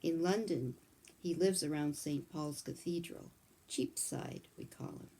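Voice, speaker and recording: A woman voicing a kindly old male country doctor, chatting calmly in a read-aloud storybook. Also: low voice, unhurried. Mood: fond.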